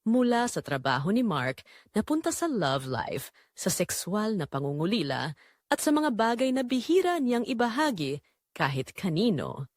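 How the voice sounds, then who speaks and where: A Filipino woman narrates a dramatic story with lively, varied intonation. Her voice sits at a middling pitch, and the sound is dry, with no room around it. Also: moderate pace, dry recording.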